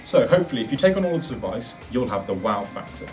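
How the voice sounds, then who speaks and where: A man's voice: medium pitch, big pitch swings, fast talker, recorded from a distance, over music. A man giving an instructional presentation, as a presenter of practical advice. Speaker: presenter giving interview tips.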